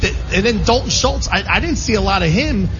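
A man's voice: high-pitched, expressive, a little fast. A man hosting a casual sports panel show, talking in a rambling, opinionated way. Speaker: sports radio show host. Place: live broadcast from a casino. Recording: muffled, clearly noisy live venue recording.

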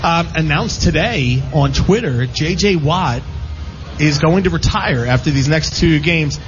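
A man's voice: a little high, animated, measured pace, soft background music. A man hosting a radio sports show, chatting casually about the games. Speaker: sports talk show host. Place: live radio broadcast at a casino. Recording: dull lo-fi live pickup, faint noise.

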